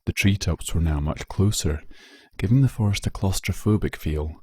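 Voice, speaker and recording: A man with a low voice narrates a story. He reads in a measured, dramatic way, and the sound is dry, with very little room. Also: a little mellow, breathy edge, dry recording. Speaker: narrator, fan fiction reader.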